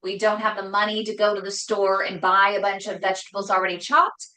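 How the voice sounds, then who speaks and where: A woman hosting a casual live cooking show from her home kitchen, talking loudly and emphatically with a voice that carries. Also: medium pitch, fairly quick, echoey room. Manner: loud voice that carries, chatty and emphatic. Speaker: host cooking on a live stream.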